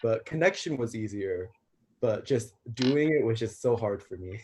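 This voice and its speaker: A man's voice: lowish voice, animated, normal speed, a little mellow. A man, a stage actor, chatting casually on an online call with faint background noise. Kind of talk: casual chat.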